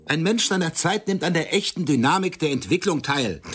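An actor playing a sharp-tongued, condescending intellectual man in a German radio play, articulate and insistent. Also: a little high, lively intonation, a little fast, husky. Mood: lecturing, scornful. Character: Serge, male friend, sharp-tongued, lecturing, condescending intellectual. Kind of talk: radio play acting, dialogue.

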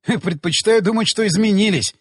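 A man's voice: high-pitched, very animated, fairly quick, husky, no room sound, clean studio sound, heavily acted. A man voicing a wry, devoted male adventurer in an audiobook, with a casual, joking manner. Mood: amused, casual.

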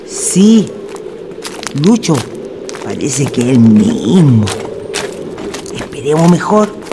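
A man's voice: a little high, sing-song, takes it slowly, heavily acted. A man playing an easygoing, plain-spoken Chilean country traveller in a radio drama, talking colloquially. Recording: noisy ambient radio drama recording.

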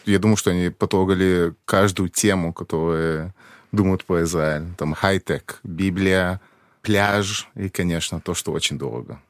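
A man's voice: low voice, moderate pace, dry recording, clean studio sound. A man in his thirties, a guest on a podcast, explaining things plainly and simply for a child. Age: 30s. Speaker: embassy press secretary, guest. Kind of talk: casual interview.

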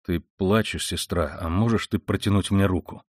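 A man reading an audiobook voices a tormented, proud and feverish young man who speaks quietly and wearily and flares into shouting rage. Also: sits low, steady tone, moderate pace, dry recording, studio quality. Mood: weary, bitter. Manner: quiet and weary, then bursts into shouting rage. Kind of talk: audiobook reading of a classic novel.

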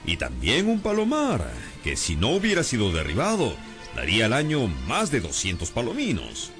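An actor in a radio drama playing a proud, impoverished gentleman obsessed with honour, speaking in a grandiose, courtly manner. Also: medium pitch, huge pitch swings, moderate pace, faint music behind. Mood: wistful, proud. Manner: grandiose, courtly, old-fashioned phrasing. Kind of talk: scripted radio drama acting.

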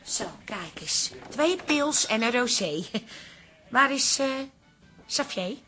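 A middle-aged café wife in a radio comedy drama. She is motherly and plain-spoken, with a bright, sharp, somewhat high voice. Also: animated, takes it slowly, soft background music, heavily acted. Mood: frank. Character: motherly, candid café wife keeping the peace.

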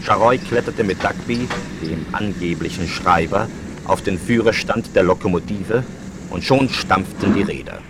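A man's voice: low voice, moderate pace. A man narrating dramatically from a script, as the storyteller of a German radio play. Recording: noisy 1970s vinyl rip.

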